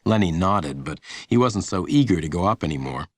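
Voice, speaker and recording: Deep-voiced man narrating a children's story. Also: expressive, brisk, no echo, studio quality. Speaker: audiobook narrator.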